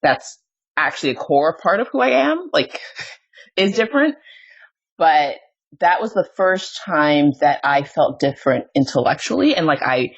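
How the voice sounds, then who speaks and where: Husky low-voiced woman hosting a podcast. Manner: candid and self-deprecating, often laughing. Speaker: podcast host. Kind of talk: conversational interview. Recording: dull lo-fi audio.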